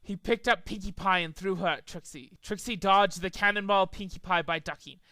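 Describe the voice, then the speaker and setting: A man's voice: high voice, fairly even intonation, moderate pace, pushed and bright, smooth, no echo. A man reading a story aloud as a dramatic amateur narrator, sometimes stumbling over the words. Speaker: amateur fan fiction reader.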